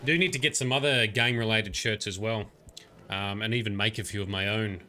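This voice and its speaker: Bright-voiced chatty male streamer. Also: on the low side, fairly even intonation, brisk, a little hiss or hum. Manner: chatty, reads and answers chat comments, frequent "um"s. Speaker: livestreamer playing a game. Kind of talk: casual livestream commentary.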